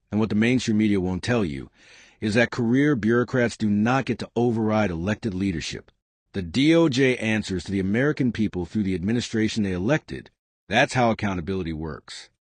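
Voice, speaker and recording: A man's voice: on the low side, no echo. A man delivering scripted political commentary in an emphatic, partisan way.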